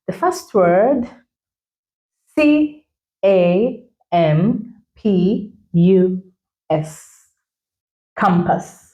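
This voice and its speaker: A woman's voice: lowish voice, warm tone. A young woman with a Kenyan accent hosting a playful chat, teasing her guest and spelling words out letter by letter. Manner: teasing, spells words slowly, laughs often. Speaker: host. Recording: roomy echo.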